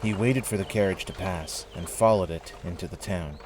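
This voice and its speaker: A man narrating a scripted audio drama in a measured storytelling manner. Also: low-pitched, darkish tone, slight background noise. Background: music.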